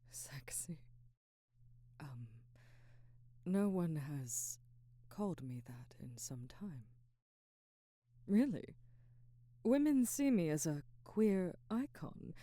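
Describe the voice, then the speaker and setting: Woman acting low, unhurried, mature Hollywood actress. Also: lively intonation, no room sound, clean studio sound, exaggerated voice. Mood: flattered, touched. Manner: soft, hesitant, breathy murmurs slipping into whispers. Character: middle-aged divorced Hollywood actress, flustered, wry, secretly smitten. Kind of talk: intimate ASMR roleplay acting.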